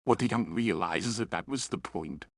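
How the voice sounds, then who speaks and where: A man's voice: lowish voice, normal speed, vocal fry, no echo, clean studio sound. A man delivering a scripted review with opinionated, emphatic delivery. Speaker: story reviewer.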